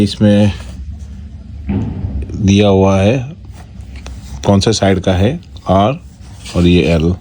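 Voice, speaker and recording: Deep, slow-talking Indian man. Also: dark tone. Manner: halting, explains step by step in short phrases. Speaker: product reviewer and vlogger. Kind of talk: casual unboxing walkthrough, Hindi mixed with English. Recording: noticeable background noise.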